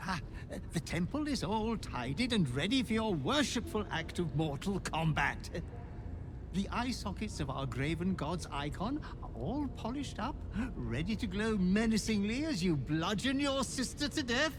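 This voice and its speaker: Game role: obsequious demonic manservant, high voice with big swoops, gleefully morbid, noisy ambience behind. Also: male voice, very animated, normal speed. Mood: gleeful, servile. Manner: theatrical, obsequious, relishing every word. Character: fawning, gleefully morbid male fiendish butler servant. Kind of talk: video game character acting.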